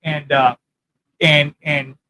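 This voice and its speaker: Loud, blunt ex-con man, profane. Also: a little high, level tone, takes it slowly. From California. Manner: blunt, profane and boastful street talk; rambling, loses his thread. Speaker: former prisoner sharing prison know-how. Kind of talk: casual monologue to camera. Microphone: talking into a mic.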